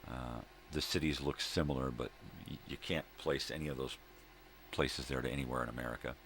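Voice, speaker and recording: An extremely low-voiced, gravelly middle-aged man talks casually to his vlog audience. He meanders, stops and restarts, repeats phrases and grumbles his opinions with the odd swear word. There is clear noise behind him. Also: steady tone, a bit bright. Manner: halting, meandering, repeats himself. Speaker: host of a daily morning video log. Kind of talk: rambling casual solo chat. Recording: noticeable background noise.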